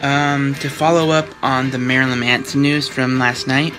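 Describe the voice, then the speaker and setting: A man's voice: medium pitch, little pitch movement, moderate pace, over music. A man giving a casual update as an online video maker, played back from a video.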